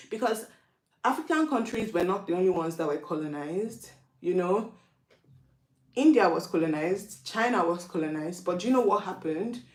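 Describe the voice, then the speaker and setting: A woman's voice: lowish voice, a little mellow. Roomy-sounding Nigerian woman musing aloud. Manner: reflective, asks rhetorical questions. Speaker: vlogger. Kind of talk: casual opinion monologue.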